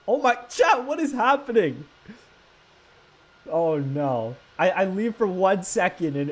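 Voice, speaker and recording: A young man from Canada, live-streaming a flight game and chatting with viewers in a stammering, excitable way. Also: fairly high pitch. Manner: animated, stammering, talks to chat. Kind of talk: live gameplay stream chat.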